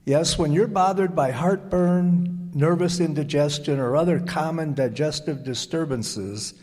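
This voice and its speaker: A man announcing in an old-time radio style, with measured, soothing salesmanship for ads and show introductions. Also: a little high, darkish tone, long reverb tail.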